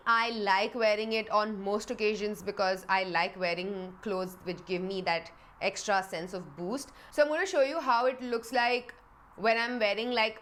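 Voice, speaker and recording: A woman's voice: medium pitch, fairly bright tone. A young woman in her twenties, a little nasal and congested, chatting frankly to her vlog audience and laughing often. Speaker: beauty vlogger. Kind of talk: casual chat to camera. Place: home in Dubai.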